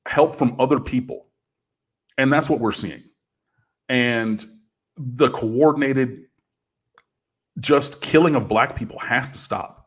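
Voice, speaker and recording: A man's voice: medium pitch, breathy edge. A man with a big voice talking earnestly on a podcast, growing impassioned as he speaks. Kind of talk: casual talk.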